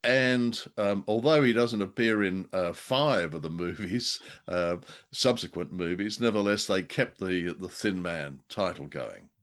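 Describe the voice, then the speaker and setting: Deep-voiced jovial Australian man. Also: unhurried. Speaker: radio show co-host. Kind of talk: casual conversational review of old radio drama.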